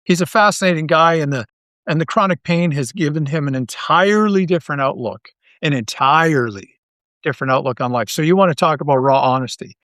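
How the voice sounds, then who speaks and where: A man's voice: a little high, a little fast, a bit bright, no echo, studio quality. A man talking casually as a podcast guest, rambling along with enthusiasm. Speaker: podcast guest, audio drama creator. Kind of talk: casual interview chat.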